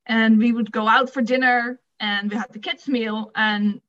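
A woman's voice: fairly high pitch, fairly even intonation, normal speed, a bit bright. A woman with a Dutch accent, a guest on a podcast, talking in a friendly, upbeat way about her past. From Netherlands. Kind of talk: casual podcast interview. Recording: dull lo-fi sound.

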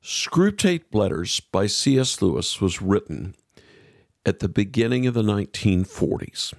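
A man's voice: fairly low pitch, very animated, unhurried, gravelly, no room sound, clean studio sound. An American man hosting a radio interview programme, introducing things and putting questions to a guest.

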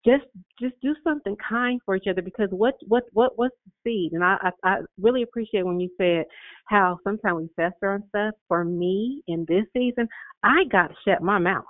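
A woman's voice: medium pitch, fairly quick, no room sound. A woman in her fifties calling in to share an earnest, rambling testimony, repeating words as she goes. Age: 50s. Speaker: caller. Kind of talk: casual testimony on a call-in prayer line. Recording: tinny phone line.

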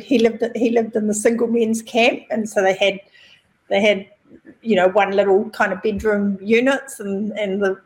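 A woman with a New Zealand accent, a podcast guest telling stories in a relaxed, chatty way. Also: a little high, poor audio. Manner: chatty, relaxed storytelling with fillers. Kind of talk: casual interview chat.

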